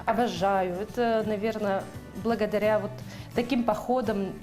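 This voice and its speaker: A young woman from Yakutia, talking casually while cooking as a guest on a TV show. She speaks at an ordinary pitch and often pauses with fillers as she gathers her words. Music plays clearly under her voice in the studio kitchen. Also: steady tone. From Yakutia, Russia. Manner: chatty, hesitant, many fillers.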